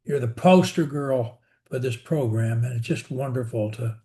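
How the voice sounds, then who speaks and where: A speech coach on a video call, a man with a middling pitch who speaks casually. He is effusive and showers the group with praise. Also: moderate pace, mellow.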